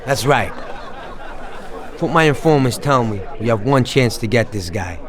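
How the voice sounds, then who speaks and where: Male actor as confident mob hitman, medium pitch, streetwise, tough, crime game drama. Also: expressive, moderate pace, some chatter behind, close to an everyday voice. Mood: businesslike. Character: confident mafia hitman laying out plans, tough and brisk. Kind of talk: dramatic video game dialogue.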